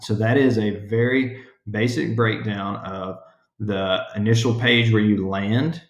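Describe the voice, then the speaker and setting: A man with a fairly low voice walks through a software screen in a steady monotone. He speaks plainly and matter-of-factly, like a teacher running a tutorial. The room around him rings with noticeable echo. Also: a little slow. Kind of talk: screen-recorded tutorial narration.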